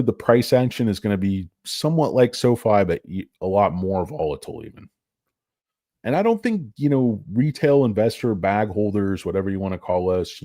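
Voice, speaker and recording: A man's voice: lowish voice, dark tone, no room sound, studio quality. A man hosting a casual stock commentary, rambling, crude and sarcastic as he talks through charts and filings. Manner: rambling, crude and sarcastic, with mocking put-on voices. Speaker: stock market video host.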